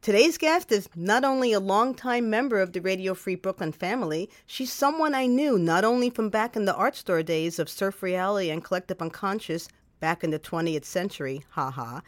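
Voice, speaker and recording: Expressive woman radio host, dry sound. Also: medium pitch, no echo. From New York, the Bronx. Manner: expressive, animated reading. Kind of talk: storytelling then casual interview. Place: radio studio. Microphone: shared microphone up close.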